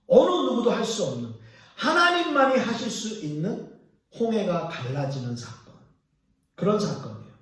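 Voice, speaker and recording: A male pastor preaching a sermon, explaining scripture and putting questions to the congregation. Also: high voice. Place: church sanctuary. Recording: echoey church hall from far off.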